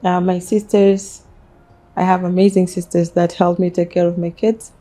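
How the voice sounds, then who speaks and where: Even, measured South Sudanese woman, lower-pitched. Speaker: interview guest, businesswoman. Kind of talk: interview, personal life story.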